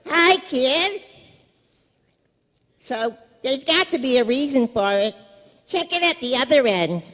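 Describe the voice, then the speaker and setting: A man voicing a cartoon space-pilot rogue with halting comic timing in a live script reading. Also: very high-pitched, animated, sharp tone, big-room sound, full character voice.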